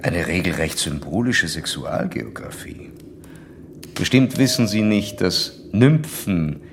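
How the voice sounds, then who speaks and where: A man's voice: low-pitched, background noise. An actor playing a self-assured male psychoanalyst in a German radio play, didactic and pressing as he questions a patient.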